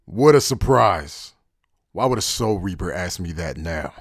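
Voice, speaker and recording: Deep, husky, taunting outcast played by a man. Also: animated, a little slow, dry recording, clean studio sound, full character voice. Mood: scornful. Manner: mocking, sardonic. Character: cynical, taunting male outcast, coolly defiant. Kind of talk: anime fan dub acting.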